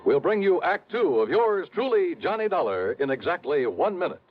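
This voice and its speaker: A man's voice: fairly high pitch, sing-song, moderate pace. A man announcing in a scripted 1950s radio style, presenting a show and reading sponsor copy. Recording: thin phone-like old radio recording.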